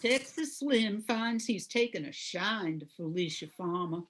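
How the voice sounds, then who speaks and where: A woman's voice: medium pitch, lively intonation, unhurried. An older woman reading scripted narration for an amateur old-time radio comedy.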